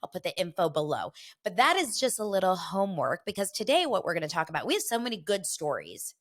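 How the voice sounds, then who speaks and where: Middle-aged woman host, gossipy chat, slightly low pitch, stuffy from a cold. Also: sing-song. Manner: chatty and gossipy, quick asides. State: congested from a head cold. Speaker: podcast host. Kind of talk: casual chat.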